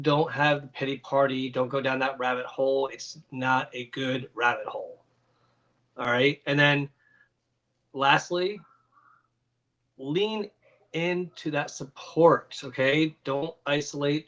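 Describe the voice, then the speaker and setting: A man's voice: medium pitch, slow pace, fairly bright tone, muffled recording. A man co-hosting a video chat, giving earnest, frank advice. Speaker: co-host.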